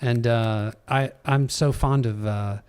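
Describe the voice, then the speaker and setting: Halting man, medium-pitched, on a panel. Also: fairly even intonation, a little mellow, clear, resonant tone. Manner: halting, searching for words. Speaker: theater director. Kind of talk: live panel talk.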